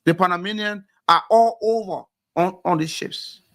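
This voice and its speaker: Man, Liberian accent, impassioned commentary, rhetorical questions, gravelly voice, dry close sound. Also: high-pitched, normal speed, fairly bright tone, no echo. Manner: emphatic, fires rhetorical questions, repeats words when pressing a point. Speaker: panel commentator.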